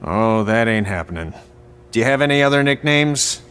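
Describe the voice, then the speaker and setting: An actor playing a sarcastic, blunt veteran wrestling trainer in video game dialogue. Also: male voice, mid-range voice, expressive, moderate pace, a bit bright, smooth, lightly acted. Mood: mocking.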